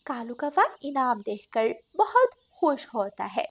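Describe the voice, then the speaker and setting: A woman's voice: a little high, very animated, measured pace. A woman reading scripted narration for a children's cartoon story.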